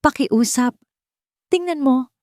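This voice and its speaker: A woman's voice: sits high, slow pace, darkish tone, no echo, studio quality, voice pushed up. A woman voicing a desperate, broken man who pleads for his child in an audio love drama. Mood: desperate pleading.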